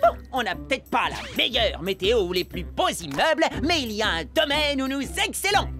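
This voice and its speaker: A woman voicing an anxious, over-eager young boy microbe in an animated cartoon, flustered and stammering. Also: a little high, moderate pace. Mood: proud pitching. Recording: music under the voice.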